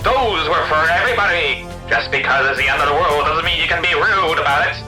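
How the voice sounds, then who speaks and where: A man's voice: a little high, fairly quick, close to an everyday voice. A man playing a mock-dramatic cliffhanger announcer for a radio serial, declaiming in a roomy echo over music.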